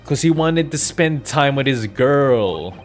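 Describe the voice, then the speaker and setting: A man's voice: medium pitch, animated, slow pace. A man streaming a game, giving casual commentary as he plays. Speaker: gaming streamer. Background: game music.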